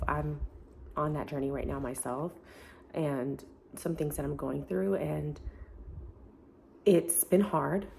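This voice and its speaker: A woman with a deep voice talking casually to camera in an earnest, encouraging way, with many fillers. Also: vocal fry, a little mellow, slight background noise. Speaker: self-help vlogger. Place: family home, indoors.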